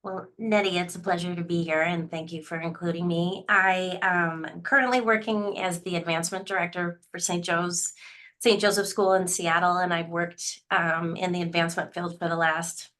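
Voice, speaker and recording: A woman's voice: on the low side, fairly even intonation, moderate pace. A woman who directs school advancement, speaking casually on a webinar panel with frequent ums. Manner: warm, chatty, many ums.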